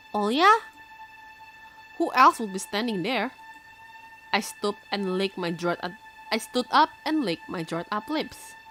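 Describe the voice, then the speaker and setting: A woman with an Indonesian accent, a native Indonesian speaker, streaming as a VTuber, reading a visual novel aloud and chatting with viewers, expressive and quick to react. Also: mid-range voice. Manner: expressive, reacts loudly, switches quickly between reading and chat. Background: game music.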